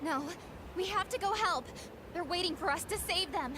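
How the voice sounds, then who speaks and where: A distraught, stubborn young soldier. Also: female voice, extremely high pitch, animated, normal speed, noisy background. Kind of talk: game voice acting. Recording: game audio with score.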